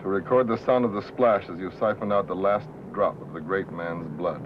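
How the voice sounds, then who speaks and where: A man's voice: sits low, fairly even intonation, moderate pace, close to an everyday voice. An actor playing a wise-guy radio reporter in a 1950s film drama. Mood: sardonic, dry. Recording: tinny, narrow 1950s film soundtrack, faint noise.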